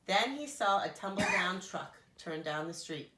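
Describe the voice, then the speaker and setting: A woman, a nursery school teacher, reading a children's storybook aloud with expressive, animated delivery. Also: lowish voice, measured pace, roomy sound, slight background noise.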